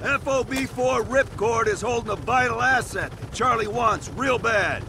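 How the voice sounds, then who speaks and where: A man's voice: very high voice, normal speed, very noisy. An actor playing a cool male CIA team leader with a dry, clipped, sardonic way of talking. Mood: wry. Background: film score.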